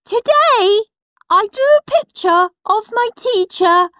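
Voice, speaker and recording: A woman voicing an earnest, goody-goody little boy who recites loudly and carefully in a children's audiobook. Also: extremely high pitch, lively intonation, takes it slowly, no room sound, studio quality, voice pushed up, full character voice. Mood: proud, reciting. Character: earnest goody-goody little boy reading his diary aloud.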